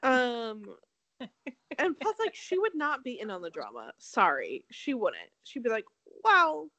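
A woman's voice: on the high side, wildly swooping pitch, unhurried, sharp tone, no room sound. A young woman from Connecticut co-hosting a casual gossip chat, blunt and catty, laughing often and filling with ums and likes. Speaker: podcast co-host.

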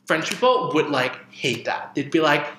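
A young man in his twenties co-hosting a casual TV recap chat, animated and exaggerated, saying "like" constantly. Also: fairly high pitch, moderate pace, slight background noise. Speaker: co-host. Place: room in Canada. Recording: roomy echo.

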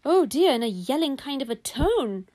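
A cheeky young child in a storybook tale, put on by a woman. The voice is high and lively, chattering with innocent frankness. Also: normal speed. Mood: puzzled.

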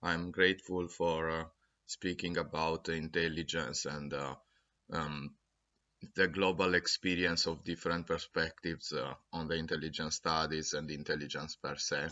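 A man from Italy with an Italian accent, answering interview questions haltingly with many fillers and restarts. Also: a bass voice, level tone, sharp tone, highs cut off. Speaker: academic guest.

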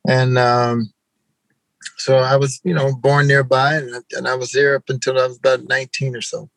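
A man from Arkansas, an interview guest speaking in an easygoing, halting way. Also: medium pitch, little pitch movement, gravelly, fairly bright tone, dry recording, rough recording. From Arkansas, USA. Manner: easygoing, halting, repeats words. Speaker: guest musician. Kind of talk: casual interview over video call.

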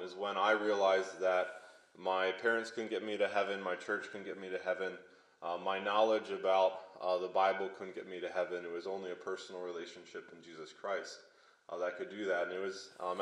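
A young man from Pennsylvania, a pastor, giving a sermon testimony in a halting way with many fillers. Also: low-pitched, a bit bright. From Pennsylvania, USA. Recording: echoey hall.

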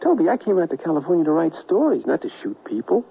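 An actor playing an earnest, anxious writer caught up in intrigue in a western radio drama. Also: male voice, on the high side, sing-song, fast talker, vocal fry, breathy edge, exaggerated voice. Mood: earnest, reluctant. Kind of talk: 1970s radio drama acting. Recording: tinny, hissy 1979 radio recording.

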